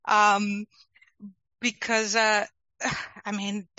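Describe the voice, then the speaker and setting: A woman's voice: mid-range voice, flat delivery, very slow, sharp tone, no room sound, dull audio. A young woman with a Dominican accent, a product manager chatting as an interview guest and laughing often. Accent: Dominican Spanish. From Dominican Republic. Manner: laughs often, talkative, restarts phrases. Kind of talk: casual interview chat.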